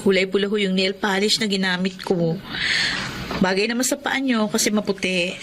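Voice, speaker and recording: A woman's voice: medium pitch, fairly even intonation, moderate pace, background noise, lightly acted. A woman acting a hardworking mother and street vendor, teasing and caring, in a Filipino radio drama. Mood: polite, friendly. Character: hardworking mother, pedicurist and barbecue vendor, warm but teasing. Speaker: narrator and lead character.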